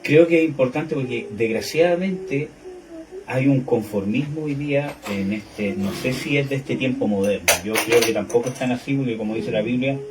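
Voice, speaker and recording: A man's voice: mid-range voice, moderate pace, background noise. A man in a conversational Bible discussion, speaking tentatively and qualifying his own statements. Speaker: discussion participant. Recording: distant mic, people clearly heard around.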